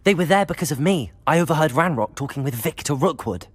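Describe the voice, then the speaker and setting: An actor playing an earnest young wizard student with a breathy voice, polite and sincere, in a fantasy game. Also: male voice, a little high, lively intonation, fast talker. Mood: urgent.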